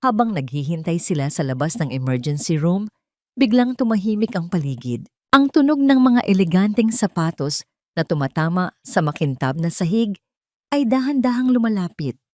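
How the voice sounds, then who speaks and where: A woman's voice: on the low side, sing-song, moderate pace, dry recording. A woman with a Filipino accent narrating an emotional story in a solemn, dramatic, scripted storytelling style.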